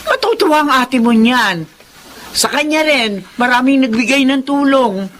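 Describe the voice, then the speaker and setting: A woman's voice: high voice, lively intonation, a little slow, a bit bright. A woman playing a cheerful, proud mother of grown children in a Filipino radio drama, exclaiming with animation. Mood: delighted, proud.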